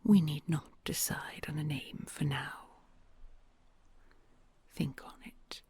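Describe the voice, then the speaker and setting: A woman's voice: sits low, fairly even intonation, no room sound, heavily acted. A woman voicing a kind, solemn and lonely female dragon queen in an audio roleplay, whispering in formal, courtly phrasing. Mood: tender whisper. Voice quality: whispery, gravelly. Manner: formal, courtly old-fashioned phrasing, soft and slow.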